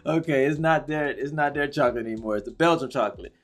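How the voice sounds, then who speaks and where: A man's voice: mid-range voice, fast talker. A man hosting a casual talk show interview, chatty and hyped, repeating himself often.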